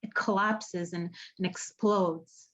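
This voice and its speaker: An actress playing an earnest, haunted woman in an audio drama, speaking haltingly and searching for her words. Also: on the low side, fairly even intonation, fairly bright tone. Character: earnest, haunted woman running an apocalypse support group. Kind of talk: naturalistic podcast radio drama acting.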